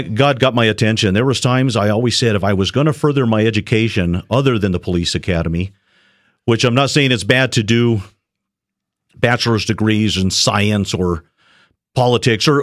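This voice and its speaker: Middle-aged guy, retired cop, slow earnest testimony, lowish voice, super dry mic. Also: no echo. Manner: earnest, measured, halting with restarts. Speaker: shepherd school student, retired police officer. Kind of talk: personal testimony on a radio show.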